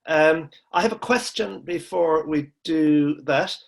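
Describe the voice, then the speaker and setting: Male moderator, moderately high voice, polite hesitant questions over a video call. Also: slow pace, gravelly. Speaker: webinar moderator.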